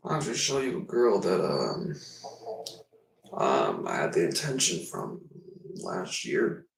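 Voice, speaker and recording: Deep gravelly man rambling on stream. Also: wildly swooping pitch, slow, distant mic. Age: 20s. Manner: rambling, meandering and self-deprecating. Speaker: livestreamer. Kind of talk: casual livestream chat. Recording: echoey room, away from the mic.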